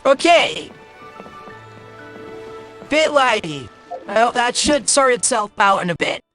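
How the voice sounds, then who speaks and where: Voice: mid-range voice, sing-song, takes it slowly, a bit bright. A game streamer talking casually on a livestream in a blunt, exasperated, grumbling way, through a voice changer. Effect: possible voice changer. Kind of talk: casual livestream gaming commentary. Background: game music.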